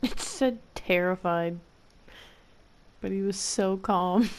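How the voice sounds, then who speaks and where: A woman's voice: mid-range voice, slow pace, noisy background. A woman streamer giving casual, sarcastic gameplay commentary in a slangy, wry manner.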